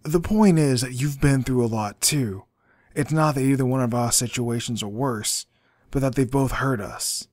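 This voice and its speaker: A man playing an earnest, remorseful young man with a gentle, sincere manner in an amateur audio drama. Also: medium pitch, normal speed, dry recording, clean studio sound, close to an everyday voice. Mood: apologetic. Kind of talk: fan audio drama dialogue.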